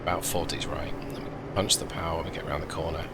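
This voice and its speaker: A man giving casual gameplay commentary, thinking aloud with restarts, his voice slightly croaky. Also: very deep voice, fast talker, heavy background noise. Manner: relaxed, thinking aloud, frequent ums and restarts. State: slightly croaky from lack of fluids. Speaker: gaming video host. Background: loud music and truck game sounds.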